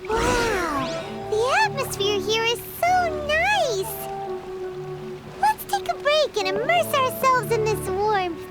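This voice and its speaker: A woman voicing a tiny, childlike fairy companion in a video game, squeaky and eager, speaking earnestly. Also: sing-song, takes it slowly, over loud music, full character voice. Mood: gentle, curious. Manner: refers to self in third person. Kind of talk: video game character dialogue.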